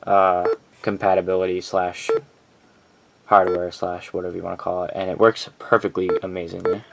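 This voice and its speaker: A man's voice: low-pitched, poor audio. A man casually and informally presenting his collection in a rambling, unscripted vlog.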